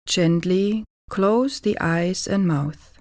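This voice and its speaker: A woman guiding a relaxation meditation as a yoga teacher, calm and deliberate, giving gentle instructions with pauses. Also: lowish voice, no echo, studio quality.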